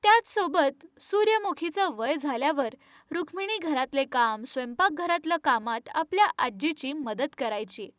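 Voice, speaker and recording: A woman narrating an animated moral story in a scripted storytelling style. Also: sits high, normal speed, dry recording, studio quality.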